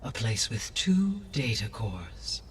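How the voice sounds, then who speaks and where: An actor playing a calm artificial intelligence in a video game, with formal, precise, emotionless speech. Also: male voice, lowish voice, slow, recorded from a distance. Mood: calm exposition. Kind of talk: video game cutscene acting.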